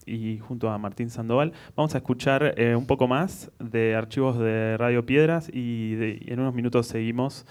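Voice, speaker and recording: A man, presenting and asking questions conversationally as a radio host, a little haltingly. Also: medium pitch, fairly even intonation, a little mellow.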